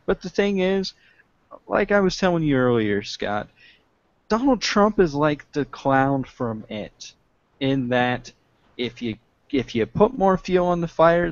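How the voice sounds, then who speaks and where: A man's voice: a little high, no room sound. A young man co-hosting a casual political podcast from home over a video call, joking and laughing as he talks. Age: young adult. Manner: joking, laughs often, drawn-out delivery. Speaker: podcast co-host. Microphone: webcam mic on video call. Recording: dull lo-fi video-call audio.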